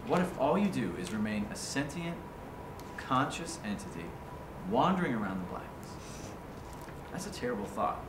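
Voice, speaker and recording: Guy playing a blunt bookish writer in a staged reading, really lively pitch, noisy echoey room. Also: moderate pace, long pauses, lightly acted. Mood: brooding. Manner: precise, formal, bookish phrasing. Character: blunt, articulate male writer critiquing a younger writer's story. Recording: roomy, noisy live recording.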